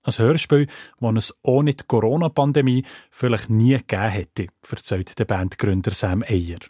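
Male presenter, Swiss German accent, low husky voice, scripted feature narration, dry studio sound. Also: moderate pace, a little mellow, no room sound. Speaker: radio presenter.

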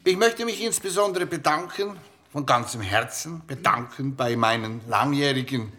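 An actor playing a retiring insurance boss in his sixties, giving a formal, halting farewell speech in a radio play. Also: medium pitch, expressive, pushed and bright. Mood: solemn, moved. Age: 60s.

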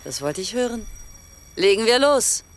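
An actress playing a brisk, sinister female yacht captain in a radio play, clipped and smoothly menacing. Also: mid-range voice, sing-song, slight background noise.

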